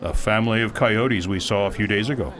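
A man playing a polite, literal-minded mentor guide in an audio drama, speaking in a formal, measured and precise way. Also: on the low side, a bit bright, natural voice. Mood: courteous, unruffled. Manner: formal, measured, precise phrasing with few contractions.

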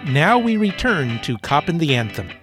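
A man's voice: a little high, very animated, normal speed, a bit bright, soft background music. A man reading a scripted announcement as the announcer of a radio program.

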